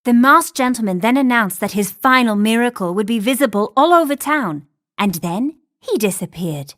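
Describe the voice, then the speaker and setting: A woman playing an earnest young storyteller, narrating a scripted mystery for an animated game. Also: a little high, normal speed, no room sound, clean studio sound.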